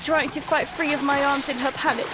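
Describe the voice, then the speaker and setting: A woman playing a terrified child who narrates a disaster at sea in halting, urgent first-person drama. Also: high voice, fairly even intonation, heavy background noise, close to an everyday voice. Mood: panicked. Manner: halting, repeating words, breathy urgency. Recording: loud dramatic music behind. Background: dramatic music.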